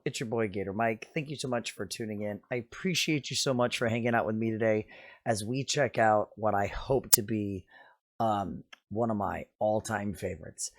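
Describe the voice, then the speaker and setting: Deep, raspy-voiced man reacting with enthusiasm. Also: dry recording, studio quality. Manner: enthusiastic, chatty, with fillers. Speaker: music reaction streamer. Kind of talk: casual reaction commentary.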